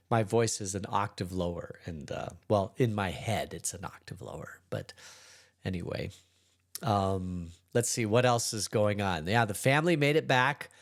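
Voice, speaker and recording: A middle-aged pastor with a hoarse, congested voice, leading a relaxed, rambling Bible study. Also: male voice, on the low side, unhurried. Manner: relaxed, rambling, chuckles between thoughts. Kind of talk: casual daily Bible study talk.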